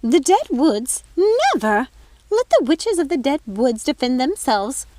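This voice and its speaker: Haughty storybook fairy godmother acted by a female reader: high, swooping, shrill and scolding. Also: very animated, unhurried, warm tone, no echo. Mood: alarmed, outraged. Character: haughty, indignant fairy godmother, squealing and accusatory.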